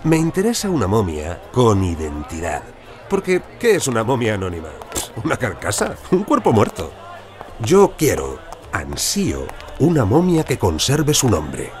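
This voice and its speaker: An actor playing a proud, demanding American senator and collector with a pompous, theatrical manner in an audio drama. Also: male voice, on the low side, wildly swooping pitch, measured pace. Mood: eager, boastful.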